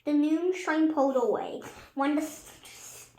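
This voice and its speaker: Storybook reader: woman, high voice, unhurried and stumbling delivery, roomy echo. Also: mellow. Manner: halting, stumbling over words and correcting herself. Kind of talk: storybook read-aloud with hesitations and acted dialogue. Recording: echoey room.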